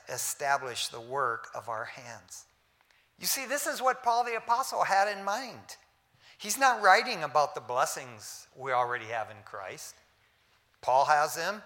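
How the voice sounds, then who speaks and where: A man preaching a sermon, swinging from loud emphasis to hushed asides, with casual words like "man" and "amen" in between. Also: on the high side. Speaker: pastor. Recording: long hall reverb.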